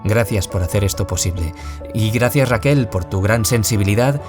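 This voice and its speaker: A man's voice: on the low side, moderate pace, close to an everyday voice. A man with a Peninsular Spanish accent playing an earnest, reflective author who survived bullying, in a scripted radio interview. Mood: earnest, heartfelt. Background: music.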